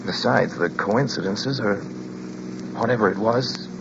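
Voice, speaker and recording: An actor playing a driver who recounts a troubling journey in measured narration that slips into nervous stammers, in a radio play. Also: male voice, lowish voice, vocal fry, background noise. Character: uneasy male driver recounting a trip. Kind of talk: 1940s radio drama narration. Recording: dull lo-fi with music behind.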